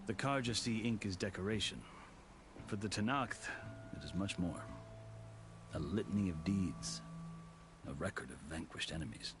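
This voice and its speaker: An actor playing a seasoned, courteous warrior envoy in a video game, a middle-aged man with a measured, formal, eloquent way of speaking. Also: low-pitched, lively intonation, background noise. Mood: solemn. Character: seasoned male warrior envoy, courteous, wry, tattooed veteran. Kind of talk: video game dialogue acting. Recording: game music behind the voice.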